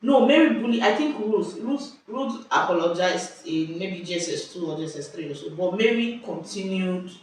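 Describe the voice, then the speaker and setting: A young Nigerian woman telling school stories in casual chat at home, lively and exclamatory, often breaking off mid-sentence. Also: lowish voice, normal speed, few pauses. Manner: lively, exclamatory, self-interrupting. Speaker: vlogger. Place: indoors at home. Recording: echoey room, distant mic, faint hiss.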